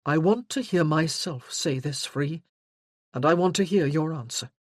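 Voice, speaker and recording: A reader voicing a charming, teasing young gentleman from a Regency romance, earnest and insistent in his pleading. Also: fairly high pitch, normal speed, no room sound, studio quality, natural voice.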